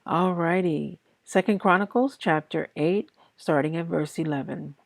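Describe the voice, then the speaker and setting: A woman reads scripture aloud in a lowish voice with a gravelly creak. She is a little tired and moves very slowly and deliberately through short phrases. The sound is dry and close, with no room around it. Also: no room sound. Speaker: Bible reader and host of a daily reading series. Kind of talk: scripture read aloud with brief casual introduction.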